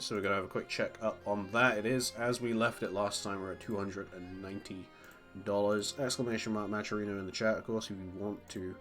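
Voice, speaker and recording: A man doing live fighting-game play-by-play while nursing a cold. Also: lowish voice. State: has a cold. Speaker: esports commentator.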